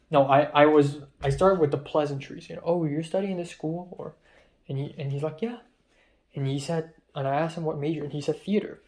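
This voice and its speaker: Young guy vlogging, kinda high voice, rambly and excitable, swears a lot. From Hong Kong. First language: Cantonese. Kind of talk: casual diary vlog.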